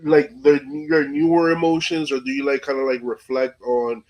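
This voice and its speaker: A man's voice: medium pitch, level tone, normal speed, a little mellow, rough recording. A man hosting a casual interview, speaking in informal, slangy phrases peppered with filler words. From New York, USA. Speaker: podcast host interviewer.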